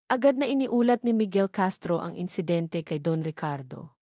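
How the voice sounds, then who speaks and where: A woman narrating a romance audio drama in a steady storytelling manner. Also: lowish voice, normal speed, no echo, clean studio sound.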